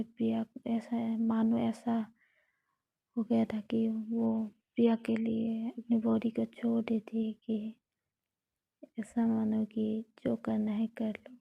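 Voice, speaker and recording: A woman narrating a romantic story in a steady, close whisper. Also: a little high, gravelly, husky, dry recording. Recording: dull lo-fi recording.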